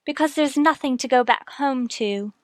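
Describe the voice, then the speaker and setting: A woman's voice: high-pitched, normal speed, dry recording, close to an everyday voice. A woman acting in a radio murder mystery, playing an earnest, emotional character with dramatic delivery. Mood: resolute, hurt.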